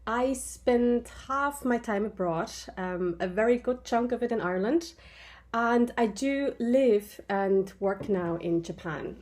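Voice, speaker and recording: A woman from Switzerland answering interview questions in a relaxed way, thinking aloud with the odd filler. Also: a little high, takes it slowly. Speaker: interview guest. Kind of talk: casual conversational interview answers.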